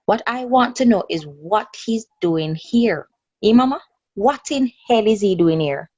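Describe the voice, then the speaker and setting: A woman's voice: medium pitch, lively intonation, unhurried, darkish tone, no echo, highs cut off. A woman playing a bitter, angry grown daughter in a radio drama, mocking and confrontational. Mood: furious.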